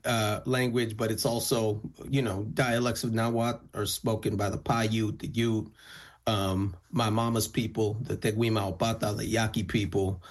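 A male musician whose first language is Spanish, answering interview questions thoughtfully, often restarting his phrases. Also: fairly low pitch. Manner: thoughtful, frequent restarts and fillers. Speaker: musician guest.

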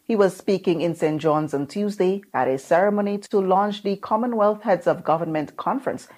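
A woman reporter narrating a scripted news item in a crisp, neutral delivery. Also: mid-range voice, moderate pace, darkish tone.

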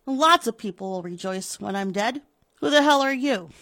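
A woman's voice: a little high, animated, moderate pace, bright, forceful tone, no echo, studio quality. A woman voicing a ruthless, contemptuous rich old businessman with a sneering, arrogant manner, told as a spoken story.

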